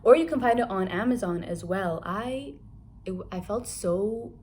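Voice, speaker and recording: A woman's voice: mid-range voice, expressive, measured pace, darkish tone, a little hiss or hum. A young adult woman from Kuwait giving a chatty, candid book review, talking casually with lots of filler words.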